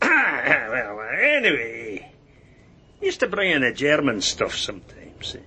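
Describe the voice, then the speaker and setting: A man acting a sly, agitated prison informant in a radio drama, rough-voiced, repeating himself and sneering. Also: medium pitch, wildly swooping pitch, slow, bright, forceful tone, a little hiss or hum, full character voice. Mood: sly, wary. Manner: agitated, stammering repetitions, sneering. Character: jumpy, sly male jailbird and police informant.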